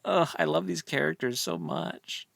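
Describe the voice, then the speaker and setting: A woman's voice: low-pitched, measured pace, creaky, husky, no room sound. A woman co-hosting a book podcast in a casual, easygoing, informal chat. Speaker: podcast co-host.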